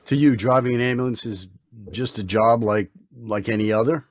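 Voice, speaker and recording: An idealistic young intern doctor played by a man in an old-time radio drama. He speaks earnestly and formally, with gentle solemnity, in a dry, close recording. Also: mid-range voice, no echo, close to an everyday voice. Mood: solemn, pleading. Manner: earnest, formal, measured phrasing.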